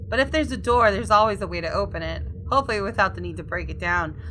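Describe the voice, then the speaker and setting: A woman's voice: mid-range voice, fast talker, a bit bright, a little hiss or hum, low-quality recording. A woman gaming YouTuber reading a visual novel aloud expressively and adding casual commentary.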